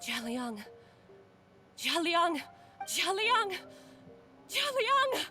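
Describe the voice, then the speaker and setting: A woman's voice: very high voice, big pitch swings, drawn-out words, sharp tone, faint music behind, full character voice. A woman voicing a fierce, devoted little daughter in a video game. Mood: anxious, pleading.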